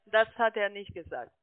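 A woman's voice: medium pitch, dry recording. A woman speaks through a tinny, band-limited telephone connection with no room sound. She has a foreign accent and searches for words as a non-native speaker. As a call center agent, she argues her side insistently. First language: not German. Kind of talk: phone sales call, arguing. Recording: narrow tinny phone line.

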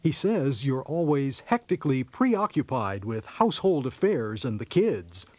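A man's voice: medium pitch, sing-song, measured pace, a little mellow, no echo. A man playing an earnest, therapist-like marriage counselor in a radio comedy sketch. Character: soothing male marriage counselor who translates jargon.

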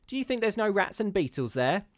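A man voicing a gruff, scornful old charwoman who scolds bluntly and fears nothing. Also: high-pitched, big pitch swings, fairly quick, no echo, pitched above the performer's own voice. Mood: scolding.

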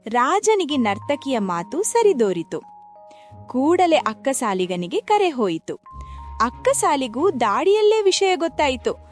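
A woman narrating a scripted children's folktale in an expressive storytelling manner. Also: sits high, a little slow. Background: background music.

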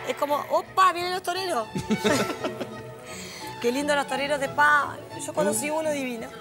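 A middle-aged woman hosting a live TV cooking show, lively and playful, on a lapel mic in a studio kitchen. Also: sits high, moderate pace, music in the background.

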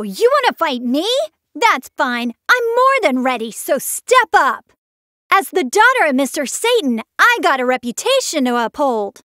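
A woman's voice: sits high, fairly bright tone, dry recording, studio quality, heavily acted. An American actress voicing a feisty, confident teenage girl martial artist with brash, emphatic delivery for an anime fighting game.

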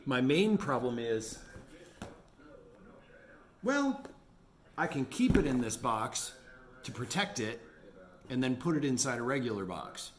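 A man in his forties talking casually to his vlog camera indoors, musing and halting as he thinks aloud. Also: medium pitch, big pitch swings. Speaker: vlogger running a home resale business.

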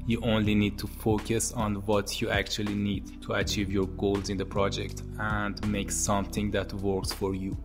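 Iranian-accented man, low monotone voice, calm measured tutorial talk, music under it. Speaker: tutorial channel host. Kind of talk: scripted educational talk to camera. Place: home studio with monitors and posters. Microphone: boom-arm podcast mic. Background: soft background music.

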